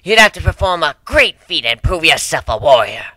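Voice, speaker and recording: Man putting on a brash, high-pitched old cat elder woman. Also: sing-song, moderate pace, sharp tone, no room sound, clean studio sound, heavily acted. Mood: stern warning. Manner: brash, bossy, scolding. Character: frail but tough old female cat tribe elder, gruff.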